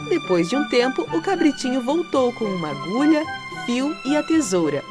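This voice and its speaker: A woman narrates a children's tale in a high, expressive voice. Her delivery is dramatic and lively, rising and falling widely, with music clearly behind her. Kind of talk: scripted storybook narration.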